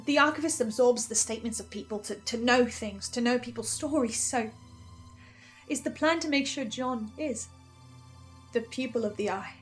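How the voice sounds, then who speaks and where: A woman acts an anguished, self-doubting female broadcaster in a dramatic monologue. The voice sits fairly high and moves haltingly, with intense self-corrections. Music plays underneath. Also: close to an everyday voice. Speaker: broadcaster reacting to a fiction podcast.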